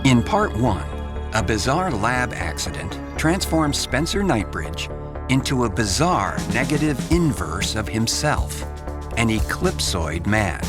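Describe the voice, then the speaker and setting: A grave male storyteller narrates a pulp radio drama. He speaks slowly and portentously with wide dramatic swings in pitch, over a strong bed of music. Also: sing-song.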